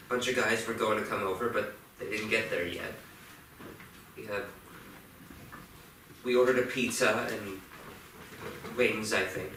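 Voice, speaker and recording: A man's voice: fairly low pitch, steady tone, unhurried, pauses often, natural voice. A man in a staged play reading, playing a fifteen-year-old boy in trouble, hesitant, evasive and defensive. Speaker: actor. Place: theatre stage. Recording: echoey theatre, distant mic, faint noise.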